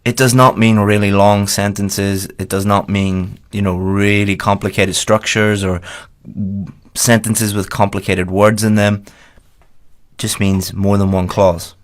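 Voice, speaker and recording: A man talks a class through slides, direct and plain, circling back to repeat each key point. His voice sits fairly low. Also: little pitch movement, measured pace. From Ireland. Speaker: teacher. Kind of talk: slideshow lesson voice-over.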